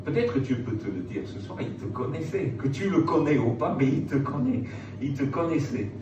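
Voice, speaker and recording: A man's voice: a little high, very animated, normal speed, dull audio. A middle-aged man preaching informally to young listeners, conversational and emphatic, with rhetorical questions and repeated phrases. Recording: echoey room, distant mic, noticeable background noise.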